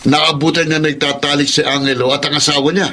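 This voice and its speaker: A man's voice: on the high side, flat delivery, fairly quick, pushed and bright. A man playing a gossipy but earnest neighborhood drunkard in a Filipino radio drama, with a Filipino accent.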